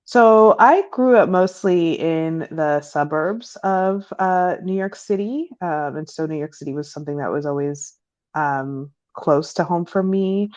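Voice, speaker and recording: A woman's voice: a little slow, dry recording. A young adult woman from Peru, speaking as an interview guest over a remote call, reflective and thoughtful, with frequent um fillers. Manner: reflective, many um fillers, rising pitch when animated. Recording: dull lo-fi call audio.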